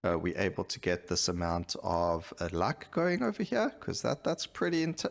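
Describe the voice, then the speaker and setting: Low, gravelly man doing gameplay commentary. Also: wildly swooping pitch, moderate pace. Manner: rambling, thinking aloud mid-sentence. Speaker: gaming video host.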